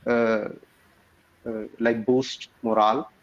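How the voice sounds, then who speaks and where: A man in his forties, a primary teacher, talking with a lot of pauses, "uh"s and polite "ma'am"s. His voice is middle pitched and comes through a slightly muffled, dull video call line. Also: little pitch movement. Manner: hesitant, many fillers, formal address. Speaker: primary school teacher, learner. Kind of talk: casual conversation practice. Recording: muffled lo-fi audio.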